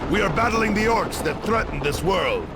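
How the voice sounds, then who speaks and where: An actor playing an urgent space marine officer giving orders under fire in a video game. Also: male voice, high voice, sing-song, moderate pace, heavy background noise. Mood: urgent, commanding. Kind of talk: dramatic video game acting. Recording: loud music and gunfire behind.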